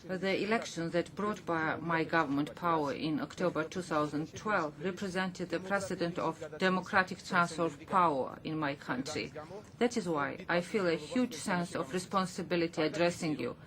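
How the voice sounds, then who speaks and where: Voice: mid-range voice, normal speed, hardly any pauses, crowd noise. An interpreter voicing a formal speech into English, hesitant and full of fillers and restarts. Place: assembly chamber.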